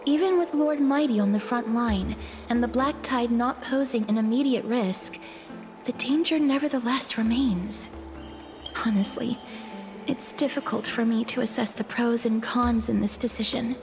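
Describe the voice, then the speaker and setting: An actress voicing a dutiful young female envoy in a video game, speaking politely and earnestly in a measured way. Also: a little high, natural voice. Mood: earnest, worried. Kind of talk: dramatic video game voice acting. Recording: music underneath.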